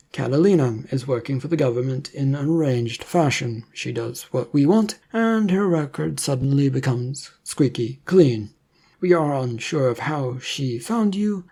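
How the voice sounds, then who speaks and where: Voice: mid-range voice, dark tone, breathy edge. A narrator voicing a businesslike male government agent who explains things formally in a story.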